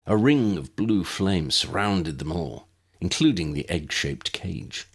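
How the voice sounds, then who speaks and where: A man's voice: low voice, expressive, takes it slowly, husky. A man reading scripted story narration as an audiobook narrator.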